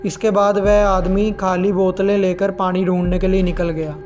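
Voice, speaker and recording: A man's voice: sits high, level tone, moderate pace, darkish tone, faint music behind. A man narrating scripted horror stories as the host of a storytelling channel.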